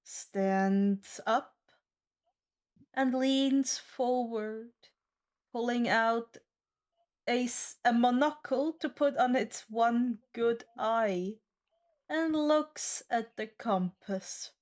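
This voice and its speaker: A woman running a tabletop game, narrating on the fly in a deliberate way with pauses between phrases. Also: fairly high pitch, a little mellow. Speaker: game master.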